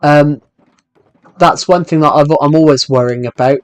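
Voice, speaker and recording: A man's voice: a little high, normal speed, clean tone, no echo. A young man in his twenties with a thick British accent, chatting casually on a game stream and rambling as he answers viewers' questions. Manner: rambling, restarts sentences while answering chat questions. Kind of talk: casual live stream chat.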